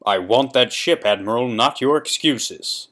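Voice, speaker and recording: A man voicing a menacing, commanding space villain with slow, stern, imperious delivery. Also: a bit bright. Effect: pitch shift and reverb on some takes. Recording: echoing, reverberant voice.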